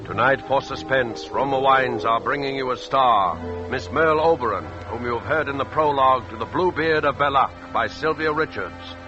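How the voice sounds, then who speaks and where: A man announcing a 1940s radio programme in a formal, measured, dramatic manner. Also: medium pitch. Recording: dull old radio, loud music behind.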